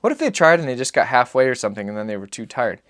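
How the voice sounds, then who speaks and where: A man's voice: mid-range voice, big pitch swings, racing, fairly bright tone, no room sound, clean studio sound. A man voicing a curious, trusting young boy who asks childlike questions in a dramatic audiobook reading.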